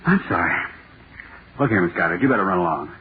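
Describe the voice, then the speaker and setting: An actor playing a nervous, overworked male author in 1940s radio drama, halting and muttering to himself. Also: deep voice, lively intonation. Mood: frayed, exasperated. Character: jittery, overworked male horror-play author, restless and self-doubting. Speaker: radio playwright dictating a script. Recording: scratchy, noisy, tinny old radio broadcast.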